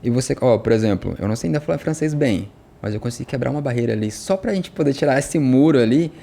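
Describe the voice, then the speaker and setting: A man from Brazil, a podcast guest who runs a language school, telling stories in an animated, casual way. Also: medium pitch, fast talker, vocal fry. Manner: animated storytelling with quoted dialogue. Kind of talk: casual conversation.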